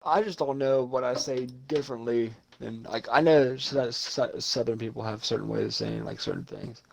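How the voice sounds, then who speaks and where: A man's voice: mid-range voice, normal speed, vocal fry. A young man from Ohio chatting casually on a podcast, rambling and swearing freely. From Ohio, USA. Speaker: podcast co-host. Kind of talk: casual chat.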